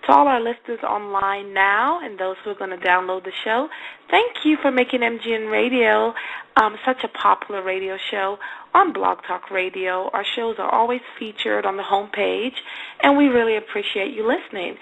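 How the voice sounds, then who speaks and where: A woman's voice: mid-range voice, moderate pace, vocal fry, a bit bright, rough recording. A woman hosting a radio show, chatting casually with effusive enthusiasm. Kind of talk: casual interview chat.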